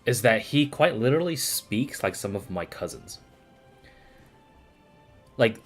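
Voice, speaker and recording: Low-voiced man chatting on a game stream. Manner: informal, musing, thinking aloud. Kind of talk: casual stream commentary.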